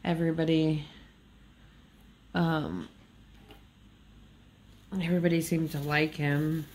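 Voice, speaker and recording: Woman, nasal, slow-talking, low voice, rambling casual chat. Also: level tone, a little mellow, a little hiss or hum. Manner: rambling, many fillers and small laughs. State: just woken up. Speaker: diamond painting vlogger. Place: indoors at a craft table.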